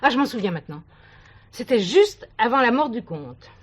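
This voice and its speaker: An actress playing a knowing village gossip in a television drama, confiding and insinuating. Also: female voice, on the high side, very animated, moderate pace, slight background noise. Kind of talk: 1970s French television drama acting.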